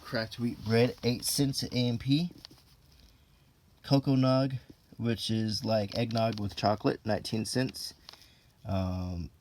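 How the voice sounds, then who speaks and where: A man reading an old printed text aloud in an ASMR style, unhurried and low-key, with casual offhand asides. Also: steady tone, darkish tone, slight background noise.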